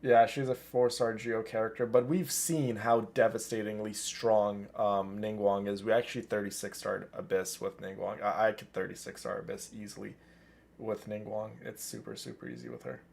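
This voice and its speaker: A man's voice: on the low side, normal speed, vocal fry, a little mellow. A man livestreaming a video game, chatting casually and exclaiming to his viewers as game music plays. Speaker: game streamer, host.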